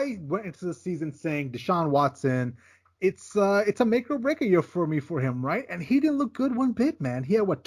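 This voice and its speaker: A man co-hosting a sports podcast, giving emphatic, casual takes on football games and repeating "listen, man" as he goes. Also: a little high. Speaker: podcast co-host. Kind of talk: casual sports betting chat.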